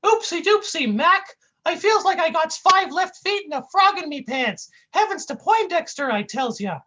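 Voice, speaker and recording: A dopey, clumsy, chubby cartoonish sidekick in a squeaky high voice. He whines and babbles folksy slang, and his tone jumps all over the place. The sound is bright. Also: animated, normal speed, muffled recording, heavily acted. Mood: goofy, whiny. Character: dim clumsy chubby male comic sidekick.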